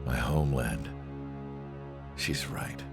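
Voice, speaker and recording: A weary war narrator with an extremely deep, gravelly voice. He speaks in somber dramatic narration, with loud music under him. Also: takes it slowly, full character voice.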